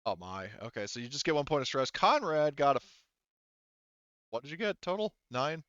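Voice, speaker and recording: A man's voice: on the high side, big pitch swings, moderate pace, a bit bright, no echo, lo-fi sound. A man acting as game master in a tabletop game, casually narrating and refereeing as he thinks aloud in a hesitant way.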